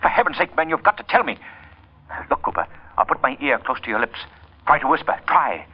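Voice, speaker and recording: An actor playing a mysterious, commanding crime fighter with urgent, dramatic delivery in old radio drama style. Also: male voice, fairly high pitch, big pitch swings, fairly quick, breathy edge. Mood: urgent pleading. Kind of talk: 1930s-40s radio drama acting. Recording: muffled vintage radio recording.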